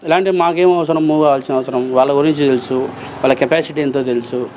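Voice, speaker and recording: Fast, insistent man with Rayalaseema Telugu accent. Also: on the high side, fairly even intonation, darkish tone, slight background noise, poor audio. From Rayalaseema, Andhra Pradesh, India. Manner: emphatic, insistent, repeats phrases and asks rhetorical questions. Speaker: interview guest, approver witness in a criminal case. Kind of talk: interview answers, colloquial account.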